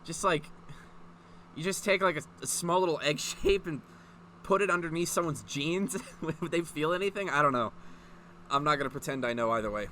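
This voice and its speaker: A man's voice: a little high, moderate pace, pushed and bright, slight background noise. A man doing a casual comedic reading, profane and mock-exasperated, prone to breaking into laughter as he reacts. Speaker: host of a comedic fan fiction reading.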